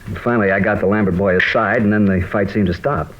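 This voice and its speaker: A man playing an earnest, troubled American high school teacher in a mid-century radio drama, explaining things hesitantly. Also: steady tone, fast, close to an everyday voice. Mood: recounting, shaken. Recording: dull, lightly noisy old radio recording.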